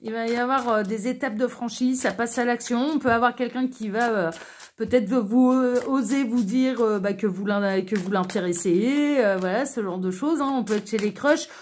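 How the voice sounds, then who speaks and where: A woman's voice: fairly high pitch, moderate pace, a little mellow, a little hiss or hum. A woman hosting an online tarot reading, talking casually to viewers in a chatty, informal, hesitant way full of asides. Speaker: online tarot reader and channel host.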